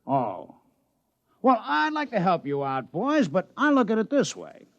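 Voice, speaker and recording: Wisecracking casino boss, pitch jumping all over, dry old radio sound. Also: male voice, wildly swooping pitch, dry recording, dull audio, full character voice. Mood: brash. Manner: fast-talking, wisecracking, tough-guy slang. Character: brash male casino boss and town councilman. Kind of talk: 1950s radio drama acting.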